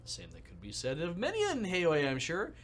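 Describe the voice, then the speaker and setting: A man reading aloud the lines of a polite, wry Russian drone engineer, with a faint Russian accent and formal, measured speech. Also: fairly high pitch, huge pitch swings, a bit bright, slight background noise. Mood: wry, courteous.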